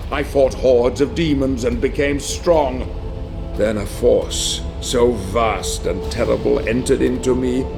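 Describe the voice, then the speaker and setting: A man's voice: medium pitch, vocal fry. A man playing a treacherous, power-hungry sorcerer lord in a video game, pompous and taunting, savoring every phrase. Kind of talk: dramatic video game villain monologue. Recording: dramatic music behind the voice.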